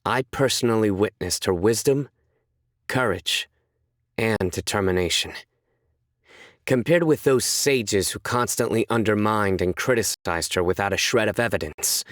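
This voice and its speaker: An actor playing a stern, composed young male judge in a video game, speaking in a measured, serious, deadpan way. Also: fairly low pitch, no room sound, clean studio sound. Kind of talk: scripted game character voice lines.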